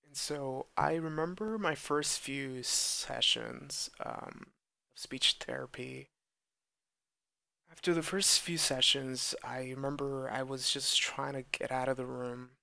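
A young man speaking slowly and earnestly in a personal monologue, with stuttered repeats and blocks. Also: mid-range voice, gravelly, sharp tone, dry recording. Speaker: podcast host who stutters.

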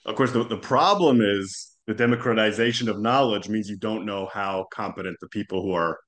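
A man whose first language is Hebrew, explaining history in a relaxed, thoughtful interview with frequent restarts. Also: lowish voice, brisk. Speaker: podcast guest, historian. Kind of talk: casual interview conversation.

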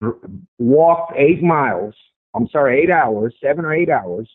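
A man's voice: medium pitch, lively intonation, a little slow, no room sound. A man with a strong voice giving a first-person account in an interview, speaking conversationally and repeating phrases for emphasis. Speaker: interview guest recounting a personal sighting. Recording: tinny phone-like radio line.

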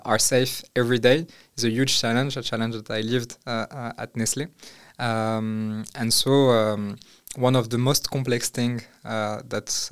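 Young guy from morocco, smooth clear voice, lots of uhs and ums. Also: mid-range voice. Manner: hesitant, many fillers and restarts. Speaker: startup founder guest. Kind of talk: casual interview answers.

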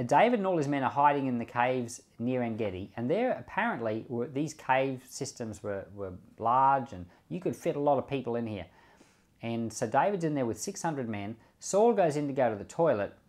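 A man's voice: medium pitch, expressive, moderate pace, a little mellow. Pastor, male, mid-range voice, talks scripture through casually and leads a closing prayer. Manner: conversational, repeats words while thinking, light chuckles. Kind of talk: bible commentary and closing prayer.

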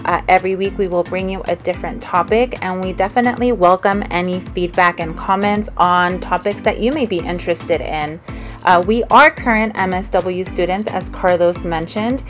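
A woman's voice: fairly low pitch, moderate pace, faint music behind. A young woman from Sinaloa, Mexico, opening a podcast in an upbeat, welcoming way. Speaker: podcast co-host. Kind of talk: scripted podcast intro.

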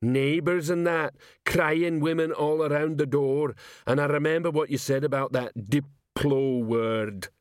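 A man voicing a slow-witted male troll watchman with a plodding, stoical, ungrammatical way of talking in an audiobook. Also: a little high, steady tone, no echo, studio quality, pitched above the performer's own voice, natural voice. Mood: stolid.

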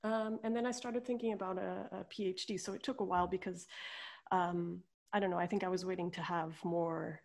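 A woman's voice: lowish voice, steady tone, brisk, gravelly, darkish tone. A woman in her thirties from Portugal, speaking as an interview guest in a friendly, thoughtful way with frequent restarts. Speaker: guest, research scientist. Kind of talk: casual interview chat.

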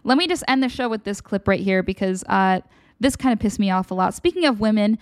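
A woman's voice: medium pitch, expressive, fairly quick. A woman hosting her own live show, chatting casually and candidly with viewers and handing out frank advice. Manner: candid, advice-giving, loose and conversational. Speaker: host of a livestream show.

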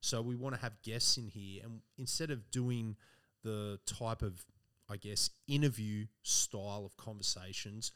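A man co-hosting a relaxed podcast conversation. His voice is fairly low, and he talks earnestly and thoughtfully. He often fills his sentences with "you know" and "team". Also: measured pace. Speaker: podcast co-host. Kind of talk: casual chat.

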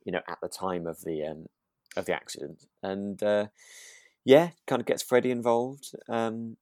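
A man's voice: on the low side, fairly even intonation, moderate pace, darkish tone, no room sound, clean studio sound. A British man co-hosting a podcast in casual conversation, tentative and often rephrasing as he goes. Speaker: podcast co-host. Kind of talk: casual chat discussing a radio drama.

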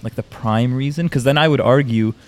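A man's voice: medium pitch, brisk. A man hosting a casual live podcast on a university campus, asking easygoing, curious questions and laughing often. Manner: laid-back, probing questions, laughs often. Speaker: podcast host.

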